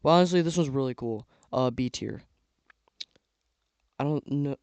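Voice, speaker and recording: A young man giving casual tier-list commentary on toy car sets, rambling with lots of fillers and a voice he says is going hoarse. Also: mid-range voice, moderate pace, darkish tone, no echo, lo-fi sound. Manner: rambling, filler-heavy, trailing off. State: losing his voice. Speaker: YouTuber ranking toy sets.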